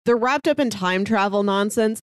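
A woman's voice: mid-range voice, moderate pace, no echo, clean studio sound. A woman co-hosting a podcast, chatting expressively and casually about a TV show. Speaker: podcast co-host.

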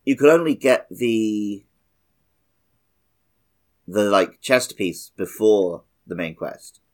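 A man's voice: on the low side, lively intonation, slow pace, darkish tone. A man co-hosting a podcast, explaining things in a casual, conversational way. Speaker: podcast host.

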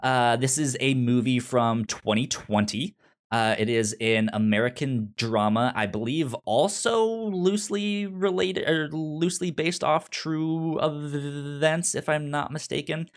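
Slow-talking man with a pronounced stutter. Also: a little high, steady tone. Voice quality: pronounced stutter with repeated initial sounds. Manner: halting, informal, hesitant phrasing. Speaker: podcast host. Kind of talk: casual film pitches on a talk podcast.

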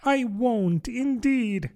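A man's voice: very high-pitched, animated, drawn-out words, dark tone, voice pushed up, heavily acted. A man voicing a polite, curious young girl in a storybook, earnest and chatty. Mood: apologetic. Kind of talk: storybook character voice.

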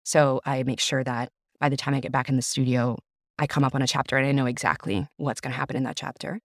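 A woman's voice: rumbling low, fairly even intonation, fast, no room sound, clean studio sound. A woman whose first language is Italian, an audiobook narrator and actor talking enthusiastically in a podcast interview. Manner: enthusiastic, effusive, frequent "you know".

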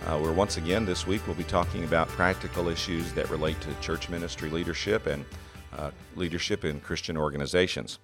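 A middle-aged man hosting a solo teaching podcast, his voice very deep and scratchy from a cold, speaking earnestly with many "uh" pauses. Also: steady tone, music in the background. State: has a cold, congested. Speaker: podcast host, seminary president.

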